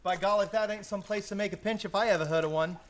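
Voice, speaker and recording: A brash policeman played by a man with a high, rapid delivery. He is blunt and slangy, straight out of an old radio crime drama. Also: fairly even intonation, a little hiss or hum, close to an everyday voice. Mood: brusque. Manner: blunt, slangy, tough-talking.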